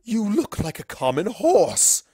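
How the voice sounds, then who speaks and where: Villainous royal mare mother: imperious, slow, grandiose, animated, for a pony audio story. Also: mid-range voice, husky, no echo, clean studio sound, exaggerated voice. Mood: indignant. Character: imperious evil princess mare, stern scolding mother. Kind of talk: fan fiction audio reading.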